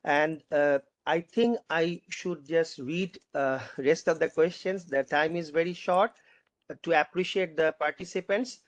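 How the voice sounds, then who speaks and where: A panel moderator reading out audience questions, haltingly, often repeating and rephrasing. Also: medium pitch, steady tone, dry recording.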